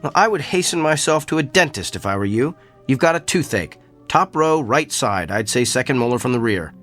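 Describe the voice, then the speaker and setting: A man's voice: mid-range voice, very animated, a little fast, fairly bright tone, faint music behind. An actor in a radio drama playing an earnest male doctor with an uncanny gift for diagnosis. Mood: wry, confident.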